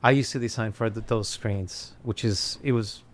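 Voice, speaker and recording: A Spanish-speaking man from Colombia with a Colombian accent, chatting as a podcast guest in an animated way, laughing and restarting often. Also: fairly low pitch, moderate pace. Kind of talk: casual conversation.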